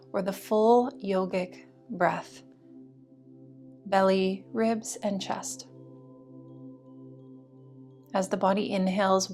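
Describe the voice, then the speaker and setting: A woman yoga teacher guiding a meditation practice in a soothing, slow and deliberate way. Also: medium pitch. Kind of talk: guided meditation instruction. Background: music.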